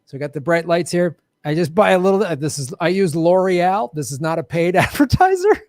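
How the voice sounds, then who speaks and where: A man hosts a live show in a casual, joking manner, poking fun at himself with playful bravado. His voice sits a little high for a man. The sound is dry and close, with no room echo. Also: sing-song, fairly quick, a little mellow. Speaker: host of a live political show. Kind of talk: casual livestream chat. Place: home studio with bright lights.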